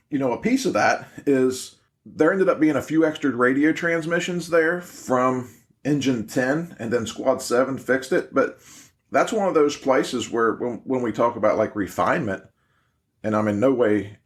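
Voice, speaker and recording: A man's voice: mid-range voice, lively intonation, moderate pace. A man explaining and reviewing in an informal way, like an instructor, often checking in with "right?". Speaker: podcast host and incident command instructor. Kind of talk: casual spoken analysis of fireground radio traffic.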